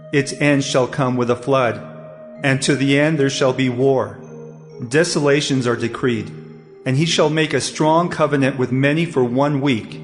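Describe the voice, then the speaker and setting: A man's voice: mid-range voice, close to an everyday voice. A man playing the angel Gabriel, a solemn heavenly messenger proclaiming prophecy in an audio Bible drama. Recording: long hall reverb, faint music.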